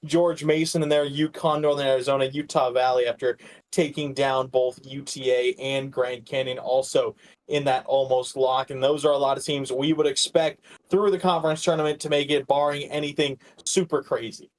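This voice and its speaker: A man co-hosting a podcast with casual sports commentary, in a halting way that often repeats words. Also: mid-range voice, flat delivery. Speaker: podcast co-host.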